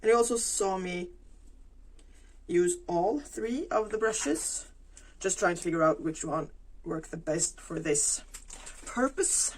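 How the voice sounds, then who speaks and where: A woman, talking through her crafting casually, thinking aloud with pauses and fillers. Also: lowish voice, lively intonation, a little hiss or hum, rough recording. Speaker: planner vlogger. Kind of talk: casual plan-with-me narration while crafting.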